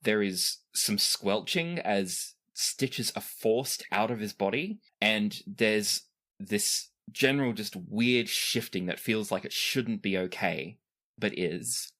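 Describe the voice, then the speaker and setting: A man's voice: on the low side, lively intonation, slow pace, bright, forceful tone. A man acting as game master, improvising descriptive narration for a tabletop game with casual asides.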